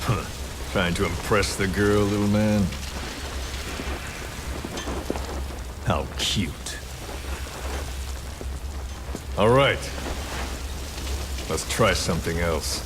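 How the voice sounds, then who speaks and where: A deep, menacing male interrogator, heard through clear rain noise. He is a cruel military commander from a video game, taunting his captives with cold deliberation. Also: slow. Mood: mocking, cruel. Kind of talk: dramatic video game cutscene acting.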